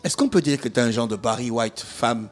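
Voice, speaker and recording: A man's voice: medium pitch, lively intonation, fairly quick, faint music behind. Teasing radio host in his forties. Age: 40s. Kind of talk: casual radio interview.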